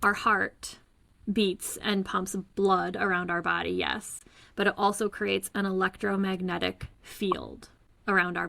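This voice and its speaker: A woman's voice: mid-range voice, vocal fry, a bit bright. A woman guest explaining her energy healing work over a call, earnest and searching for words. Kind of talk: casual explanatory talk. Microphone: remote call.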